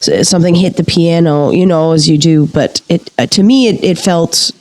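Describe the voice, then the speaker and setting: An actress playing an eager, credulous theatre member investigating a ghost in an audio play, with an earnest, halting, intrigued way of talking. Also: female voice, deep voice, lively intonation, darkish tone, rough recording. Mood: earnest, uneasy. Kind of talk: audio play acting, interview-like conversation.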